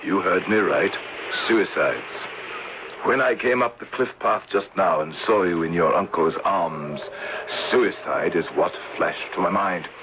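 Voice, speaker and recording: An actor playing a grave, formal gentleman rescuer and narrator in an old-time radio drama. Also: low-pitched. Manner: formal, measured, courtly. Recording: dull, noisy old radio broadcast.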